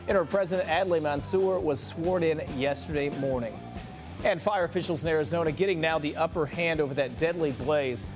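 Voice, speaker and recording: An American man reading the news as a morning television anchor. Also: a little high.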